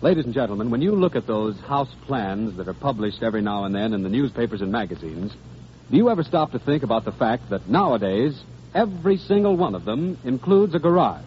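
A man's voice: medium pitch, very animated, a little fast. An American man reading a 1930s radio advertisement as a commercial announcer. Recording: muffled old radio broadcast, faint noise.

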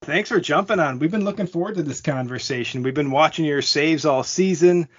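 A man's voice: a little high, fairly quick, slightly rough. Upbeat male podcast host, dull lo-fi sound. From Canada. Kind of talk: casual hockey podcast chat.

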